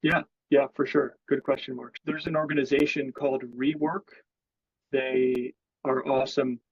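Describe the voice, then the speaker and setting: A man's voice: mid-range voice, flat delivery, normal speed, slightly rough, no echo, highs cut off. A man speaking as an interview guest in sales, explaining his thinking in a casual business conversation.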